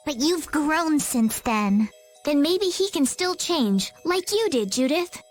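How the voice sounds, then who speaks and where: High, sweet, earnest young lady. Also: lively intonation, slow pace, faint music behind. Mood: gentle, earnest. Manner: polite, tender. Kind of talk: English game dub voice acting.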